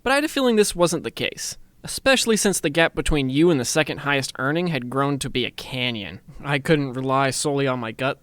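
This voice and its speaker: A man's voice: medium pitch, a bit bright, clean tone, dry recording, close to an everyday voice. A man playing a smug, teasing, intimidating office boss who talks in a chatty, self-satisfied way in an ASMR roleplay.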